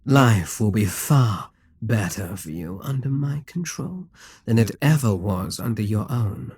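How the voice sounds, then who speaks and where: A man's voice: fairly low pitch, lively intonation, measured pace, darkish tone, slightly rough, dry recording, clean studio sound, heavily acted. A man voicing a possessive, scheming male demon king in an audio roleplay, teasing and condescending.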